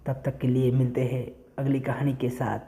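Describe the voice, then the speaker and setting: A man's voice: mid-range voice, completely flat delivery, normal speed, dark tone. A man telling a moral story and addressing listeners directly, in simple, repetitive, explanatory phrasing. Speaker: storyteller and channel host.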